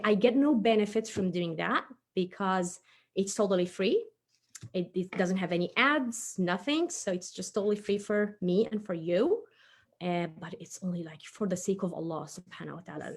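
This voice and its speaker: A woman's voice: mid-range voice, gravelly, darkish tone. A woman, perhaps in her thirties, hosts a casual craft session for children in a chatty, encouraging, self-deprecating way.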